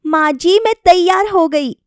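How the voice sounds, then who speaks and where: Lady doing a young daughter-in-law, high voice, earnest, plaintive, eager to please. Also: measured pace, no echo, clean studio sound. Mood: eager, dutiful.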